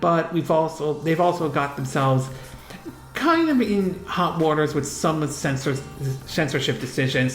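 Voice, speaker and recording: A man hosting a solo gaming news commentary, rambling with lots of fillers, restarts and trailing "and all". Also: a little high. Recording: echoey room.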